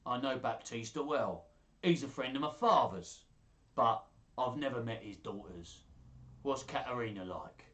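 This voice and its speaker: A man's voice: mid-range voice, expressive, unhurried, fairly bright tone. A man voicing a brash, boastful fortune hunter in a storybook, with a grand, confident manner. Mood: interested.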